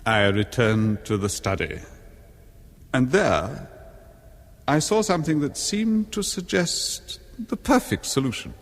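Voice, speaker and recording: Haunted, slow-spoken jealous husband. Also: male voice, medium pitch, lively intonation. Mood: bitter, brooding. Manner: halting, theatrical, brooding storytelling. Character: haunted jealous husband confessing a murderous plot. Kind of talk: 1970s horror radio drama acting. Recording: long cavernous reverb on voice.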